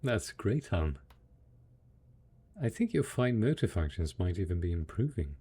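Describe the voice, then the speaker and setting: Man acting a low, slow, doting apocalypse husband. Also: big pitch swings, warm tone, breathy edge, heavily acted. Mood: patient, encouraging. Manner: tender, coaxing, patient, full of pet names. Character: devoted, doting post-apocalyptic husband tending his monstrous spouse. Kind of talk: intimate audio roleplay drama.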